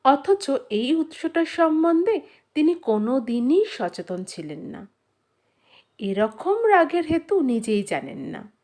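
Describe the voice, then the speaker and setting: Female audiobook narrator, higher register, very animated intonation, measured phrasing with dramatic pauses. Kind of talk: expressive scripted narration of a literary short story.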